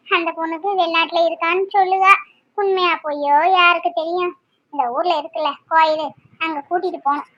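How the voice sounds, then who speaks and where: A woman's voice: very high-pitched, sharp tone, lo-fi sound, slight background noise. A woman acting a feisty, protective village housewife in a drama, blunt and chatty.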